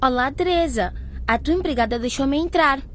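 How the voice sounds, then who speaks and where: A woman's voice: high-pitched, normal speed, background noise, close to an everyday voice. A woman acting an earnest, anxious teenage schoolgirl in a radio soap, polite and hesitant. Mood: friendly.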